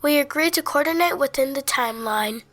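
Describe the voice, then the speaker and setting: A woman with a high voice reads a scripted announcement, inviting and persuasive. Faint music plays behind her. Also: fairly quick, a bit bright.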